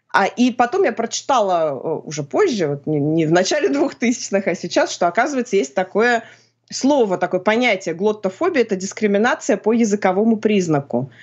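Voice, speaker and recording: A woman's voice: on the high side, muffled recording. Thoughtful woman explaining over a video call. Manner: thoughtful, many filler sounds, explanatory. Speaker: philologist and journalist guest. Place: home room with bookshelves.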